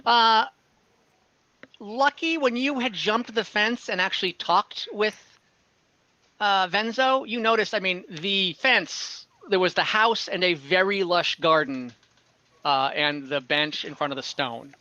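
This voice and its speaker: Male game master, fairly high bright voice, conversational explainer, slightly dull online-call sound. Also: a little slow, no room sound. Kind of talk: narrating an online tabletop fantasy game.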